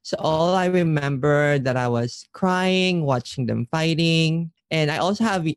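A man's voice: a little high, unhurried, clear, resonant tone, no room sound, studio quality. A male voice with a Filipino accent, an interview guest telling personal stories in a conversational way. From Philippines. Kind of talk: personal storytelling.

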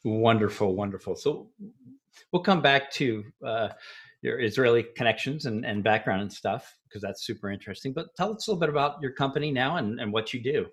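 A man's voice: medium pitch, brisk, breathy edge. A man hosting a podcast, telling stories and chatting casually with lots of fillers. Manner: relaxed storytelling, chatty with many fillers. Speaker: podcast host.

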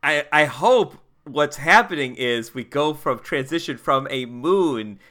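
A man's voice: on the high side, expressive, unhurried, bright, forceful tone. A man chatting casually as a player in an improvised tabletop game.

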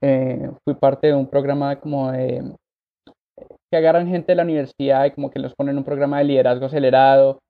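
A man from Colombia, raised abroad, telling his life story as a podcast guest in a relaxed way with many fillers. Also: medium pitch, brisk, vocal fry, phone-quality sound. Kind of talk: conversational interview.

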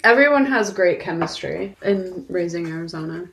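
A woman's voice: lowish voice, lively intonation, moderate pace, fairly bright tone, rough recording. A woman co-hosting a podcast, talking about film in a casual, informal, conversational style. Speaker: podcast co-host.

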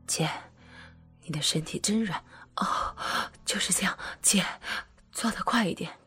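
A woman voicing an eager younger brother, speaking in a breathy, hushed half-whisper. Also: measured pace, soft background music, exaggerated voice. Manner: low murmuring, half-whispered.

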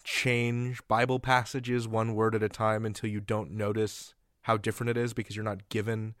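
A man hosting a casual conversational interview, speaking in halting, thoughtful phrases with tentative turns. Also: lowish voice, flat delivery, fairly bright tone, no room sound, clean studio sound. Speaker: interviewer, podcast host.

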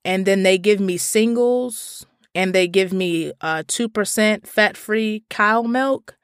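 A young woman hosting a podcast, talking in an animated, exasperated way, with frequent fillers and swearing. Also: mid-range voice, takes it slowly, no room sound, studio quality. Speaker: podcast host. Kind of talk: casual podcast monologue.

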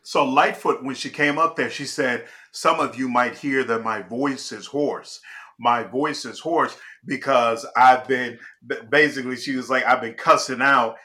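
Male host, from Chicago, bright and forceful tone, emphatic conversational commentary. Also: mid-range voice, a little slow. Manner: emphatic, restarts and checks in with "all right?". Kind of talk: casual political commentary.

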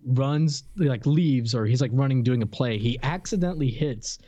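A man's voice: mid-range voice, normal speed, warm tone, dull audio. A man co-hosting a podcast, talking casually and informally as he reviews old cartoons. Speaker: podcast co-host.

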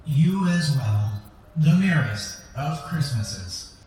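A man's voice: a little high, expressive, slow pace, heavy reverb, background noise. A man playing a prim, observant computer assistant in an audio drama, with precise and dry delivery. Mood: courteous.

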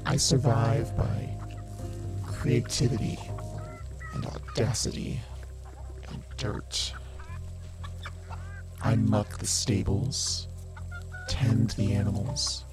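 A woman playing a defiant outcast servant from the eighteenth century, speaking a solemn, measured monologue in an audio drama. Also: rumbling low. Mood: proud, defiant. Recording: loud music over the voice.

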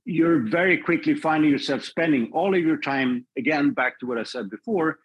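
Husky-voiced man speaking thoughtfully. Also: a little high, dull audio. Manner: thoughtful, measured, with frequent restarts. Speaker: filmmaker guest. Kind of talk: reflective podcast conversation.

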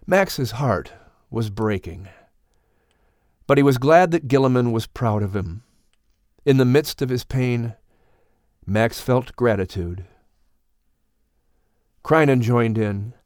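A man narrating an audiobook story in a solemn, gentle storytelling manner. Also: medium pitch, expressive, slow pace, pauses often, no echo, studio quality.

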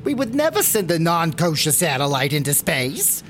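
A woman playing a scheming, cheerfully menacing synagogue elder in a comic audio drama, coaxing with mock-gentle menace. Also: low-pitched, very animated, normal speed, noisy background.

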